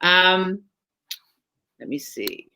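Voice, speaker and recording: A woman's voice: fairly low pitch, lively intonation, extremely slow, pushed and bright. A woman with a British accent hosting a casual live author chat from home, talking chattily and laughing often. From Britain. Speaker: host.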